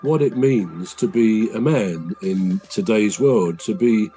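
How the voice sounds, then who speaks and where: A man co-hosting a podcast, chatting casually in a rambling, self-correcting, gently humorous way. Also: fairly low pitch, slow pace, soft background music, low-quality recording. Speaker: podcast co-host. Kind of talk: casual chat.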